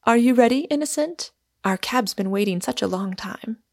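A woman voicing a calm, practical young woman, natural and quietly persistent, in an audiobook. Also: medium pitch, a little fast, gravelly, close to an everyday voice. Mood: calmly insistent. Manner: natural, plain-spoken, persistent. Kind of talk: audiobook character voice.

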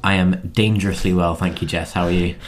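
A young man, co-hosting a podcast in a relaxed, joking way with lots of fillers. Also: low voice, brisk. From London. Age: 20s. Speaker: podcast co-host. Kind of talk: casual podcast chat.

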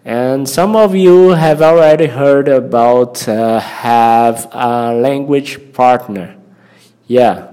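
A man with a Brazilian Portuguese accent speaks in a soft-edged, relaxed tone at a slow pace. He hosts an informal language lesson in hesitant English, often searching for words and repeating phrases as he goes. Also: medium pitch, clear, resonant tone. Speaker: language-learning podcast host.

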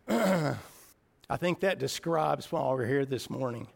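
A man with a raspy voice preaching a sermon in church, earnest and a little emotional, often clearing his throat. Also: mid-range voice, lively intonation, slow pace.

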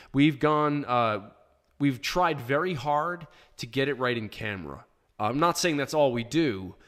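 A man with a hoarse, worn voice talks straight into a studio microphone, hosting a casual live show. He answers questions in a loose, plainspoken way, with plenty of ums and restarts. His pitch sits in an ordinary middle range for a man. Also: a bit bright. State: hoarse from shouting. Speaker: podcast host answering listener questions. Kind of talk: casual live podcast chat. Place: small home studio.

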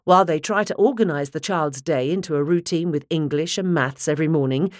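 A woman reading a nonfiction audiobook in a measured, articulate way, close to the microphone. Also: deep voice, no room sound. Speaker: audiobook narrator. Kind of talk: scripted nonfiction audiobook narration.

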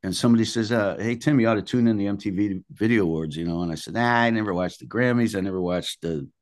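An older man speaks in a deep voice with very little room sound around it. He tells anecdotes as an interviewed musician would, casually and colloquially. His phrasing is blunt and salty. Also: fast talker, dry recording, clean studio sound.

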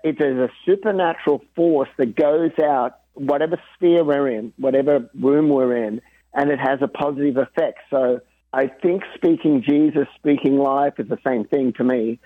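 A man being interviewed about drumming and faith, talking in an earnest, animated way and laughing easily. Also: mid-range voice, moderate pace, no echo. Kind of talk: casual interview chat. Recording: dry tinny phone-like line.

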